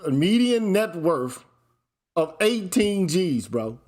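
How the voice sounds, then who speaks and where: A man's voice: high-pitched, very animated, slow, darkish tone. A man hosting a heated panel debate, blunt and profane, hammering his points with numbers. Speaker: show host.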